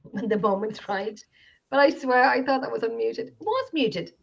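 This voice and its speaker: A woman's voice: on the high side, expressive, normal speed, vocal fry. A chatty, giggly woman with an Irish accent, co-hosting a casual live-stream chat over a home computer microphone. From Ireland. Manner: giggly, chatty, self-deprecating. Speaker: podcast co-host. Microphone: home computer microphone on a video call. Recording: dull lo-fi online call audio.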